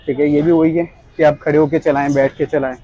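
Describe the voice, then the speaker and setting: A young man giving a casual, polite sales pitch, quoting products and prices as a shop salesman. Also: level tone, fast, mellow, highs cut off, slight background noise. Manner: polite, addresses customer as sir. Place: small tiled fitness equipment shop, indoors.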